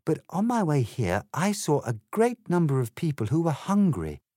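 A man's voice: a little high, huge pitch swings, normal speed, dark tone, no room sound, clean studio sound, voice pushed up. Man doing a prim, polite little girl. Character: polite, curious young girl.

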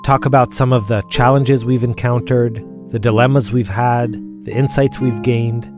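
A man hosting a podcast, narrating in a calm, measured way. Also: medium pitch, over music. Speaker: podcast host. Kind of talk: scripted narration.

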